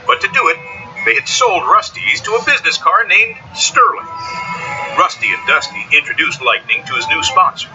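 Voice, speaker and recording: A man narrating a scripted children's story in a dramatic, expressive way. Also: high-pitched, moderate pace. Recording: dull sound under loud music. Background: loud music bed.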